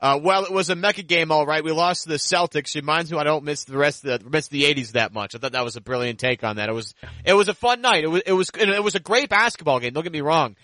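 Bright-voiced man hosting a sports podcast. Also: fairly high pitch, fast, dry recording. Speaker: podcast host.

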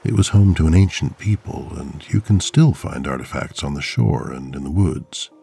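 A male narrator voicing a father who tells eerie old lore to his children, slow and ominous. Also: very deep voice, lively intonation, vocal fry, mellow, husky, faint music behind, pitched below the performer's own voice, exaggerated voice. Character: stern father telling eerie local lore.

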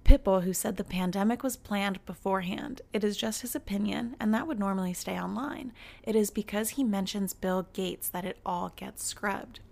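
A young woman in her twenties hosting a casual solo podcast, her voice raspy from just waking up, chatty and giggly with many fillers. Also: medium pitch, normal speed, darkish tone. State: raspy morning voice. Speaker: podcast host.

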